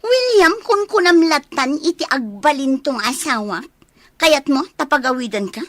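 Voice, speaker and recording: A woman's voice: high-pitched, lively intonation, normal speed, no room sound. A woman playing a bossy, haughty young woman in an Ilocano radio drama, threatening in manner.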